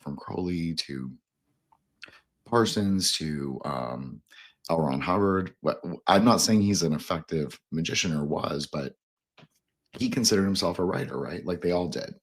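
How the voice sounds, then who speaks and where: Male podcast host, low and husky; loose, halting, digressive conversational delivery. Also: expressive. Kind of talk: casual, rambling discussion.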